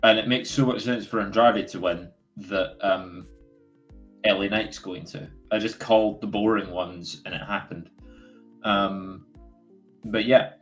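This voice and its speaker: A man's voice: fairly low pitch, fairly even intonation, measured pace, faint music behind. A man hosting a casual live stream and talking in a chatty, easygoing way. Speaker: host.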